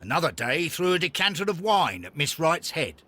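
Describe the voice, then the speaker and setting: A highish, husky male voice for an earnest Victorian police inspector in a video game. He is gossipy and emphatic, with a forceful edge and lively swings in pitch. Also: brisk, heavily acted. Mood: grave, emphatic.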